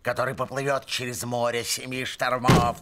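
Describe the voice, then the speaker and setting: A man voicing a grumpy, scheming old villain in an animated film, querulous and exasperated. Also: mid-range voice, normal speed, very noisy, close to an everyday voice. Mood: irritated. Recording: loud music behind the voice.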